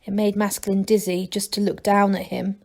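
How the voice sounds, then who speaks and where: A woman reading a children's story aloud as a teacher would, in expressive narration. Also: mid-range voice, normal speed, creaky.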